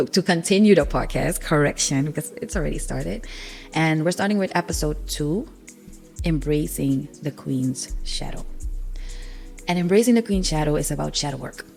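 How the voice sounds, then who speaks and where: A woman's voice: low-pitched, normal speed, gravelly, a little mellow, faint music behind. A young woman with a Surinamese accent, whose first language is Sranan Tongo, hosting a personal spiritual podcast in an earnest, confessional way, sniffly with a cold. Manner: earnest, raw and confessional, with many ums. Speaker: podcast host.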